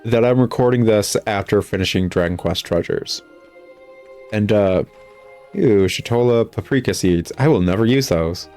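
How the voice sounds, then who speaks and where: A man with a fairly low voice talks casually through a video game as he plays. His manner is sarcastic and exasperated, rambling from one complaint to the next like a critic hosting his own show. Music plays clearly behind him. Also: normal speed, darkish tone. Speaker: gaming video host, critic.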